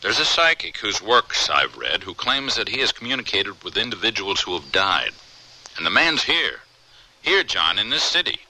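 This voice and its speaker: An earnest colleague in a radio drama. His voice is low and very forceful, swinging widely as he pleads with theatrical urgency. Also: sing-song, moderate pace, full character voice, low-quality recording. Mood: urgent pleading. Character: earnest, persuasive male colleague of a troubled scientist. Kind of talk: American radio drama acting.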